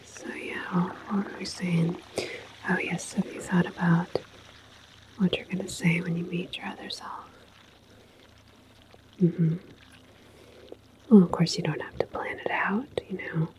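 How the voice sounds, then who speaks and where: A woman whispering softly in an ASMR sci-fi roleplay as a calm, musing, reassuring station attendant. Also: lowish voice, a little slow, pauses often, rough recording. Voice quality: soft, breathy whisper. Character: calm, philosophical attendant at a futuristic portal station.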